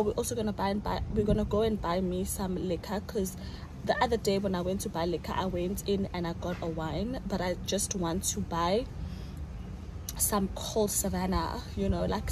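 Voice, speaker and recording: A woman's voice: medium pitch, steady tone, moderate pace, noisy background. A young woman, chatting casually to a phone camera as a vlogger while running errands, laughing often. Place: car and shops, out and about.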